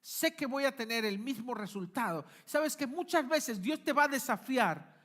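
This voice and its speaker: A male pastor, preaching a sermon emphatically, swinging between forceful peaks and quiet asides. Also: very high-pitched, animated, normal speed. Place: church.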